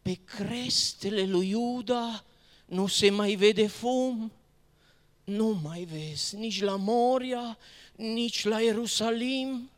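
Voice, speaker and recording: A person reciting religious verse in a solemn, declamatory, dramatic manner. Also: mid-range voice. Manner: solemn, declamatory, with rhetorical questions and exclamations. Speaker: poetry reciter. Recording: long hall reverb.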